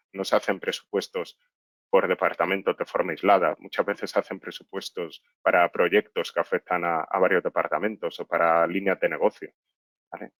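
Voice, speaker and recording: A man's voice: low-pitched, dry recording. A man giving an explanatory business presentation as a consultant, often adding short tag questions to check that listeners follow. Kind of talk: webinar presentation with slides.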